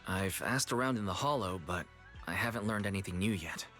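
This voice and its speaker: A man playing a calm, level-headed young investigator in a video game, measured and polite. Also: lowish voice, soft background music, close to an everyday voice. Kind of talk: video game story dialogue acting.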